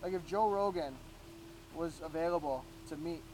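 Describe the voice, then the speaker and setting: A man's voice: a little high, normal speed, slight background noise, low-quality recording. A man from Florida, a real estate agent, telling stories as a guest in a casual podcast interview and acting out what people said to him. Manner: animated storyteller, acts out quoted voices.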